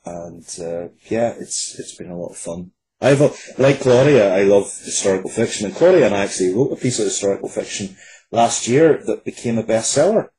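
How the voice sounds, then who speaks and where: A man's voice: lowish voice, expressive, fairly quick, warm tone, a little hiss or hum, rough recording. A man speaking as an interview guest and writer, casually and earnestly, in a reflective way. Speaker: guest, writer of fiction, comics and games.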